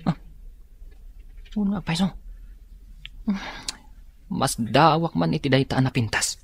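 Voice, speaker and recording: A man's voice: fairly high pitch, animated, slow pace, gravelly, husky, heavily acted. An actor playing a friendly, naive young male student in an Ilocano radio drama. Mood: bewildered.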